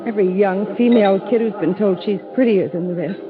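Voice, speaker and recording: A woman's voice: mid-range voice, fairly quick, a crowd in the background, natural voice. An actress playing a young office assistant in a 1950s radio drama, earnest and emotional in her delivery. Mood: wistful, tearful. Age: young adult. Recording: muffled vintage radio recording.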